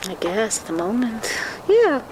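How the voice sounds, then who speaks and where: A woman being interviewed, chatting casually and quickly, sounding exasperated. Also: a little high, big pitch swings, noisy background. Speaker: settler interviewee. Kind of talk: casual chat.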